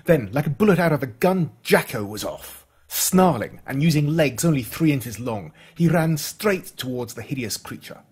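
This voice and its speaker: An Australian man reading a first-person story in a dramatic, suspenseful way, slowing down on emphatic words. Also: medium pitch, slightly rough. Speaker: audiobook narrator.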